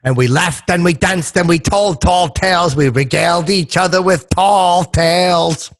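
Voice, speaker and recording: A man's voice: high-pitched, a little slow. A man voicing a crude, boastful comic oddball podcast host, talking in a mock-earnest, rambling way. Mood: proud, sing-song. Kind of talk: comedy banter with sing-song bits.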